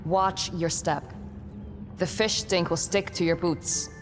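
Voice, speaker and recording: A woman's voice: fairly low pitch, fairly even intonation, slow, music in the background. A woman playing a guarded young warrior in a video game, speaking in a dry, understated way. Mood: dry, wry. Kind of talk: video game cutscene acting.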